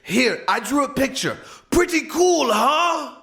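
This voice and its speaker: A man's voice: very high-pitched, animated, slow, creaky, pushed and bright. A man narrating an absurd comedic tale as a storyteller, with a theatrical, exaggerated delivery.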